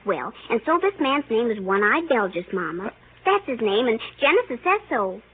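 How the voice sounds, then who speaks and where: A woman's voice: a little high, big pitch swings, moderate pace. An actress playing an eager, chattering little girl in 1930s radio drama, telling tales in childish, insistent run-on sentences. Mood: important, insistent. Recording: tinny, hissy old 1930s radio recording.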